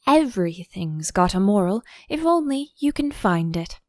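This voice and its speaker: A woman's voice: medium pitch, very animated, normal speed, a little mellow, no room sound, studio quality, natural voice. A woman voicing an overbearing, affectionate older Duchess in a storybook, effusive and sententious, drawing out her phrases. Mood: chiding, moralising.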